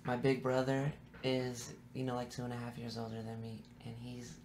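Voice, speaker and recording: A man from San Francisco with a gravelly, creaky voice talks casually as a podcast's musician guest. He is loose and slangy and swears freely. There is faint noise in the room behind him. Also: mid-range voice, monotone, moderate pace, darkish tone. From San Francisco, United States. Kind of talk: casual podcast interview chat.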